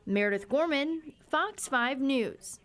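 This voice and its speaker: A woman's voice: high-pitched, animated, slow, fairly bright tone. An American woman narrating a scripted news report as a reporter.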